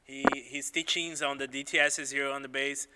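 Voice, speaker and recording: A man's voice: medium pitch, steady tone, moderate pace, in a large hall, heavy background noise. A man hosting a live-streamed panel chat, upbeat and friendly, laughing often. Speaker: host.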